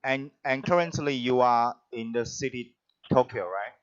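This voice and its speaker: A man hosting an online performance event over a video call, talking in a halting way with many restarts. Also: medium pitch, lo-fi sound, a crowd in the background. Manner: halting, with frequent restarts and fillers. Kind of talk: live-stream hosting, introducing guests.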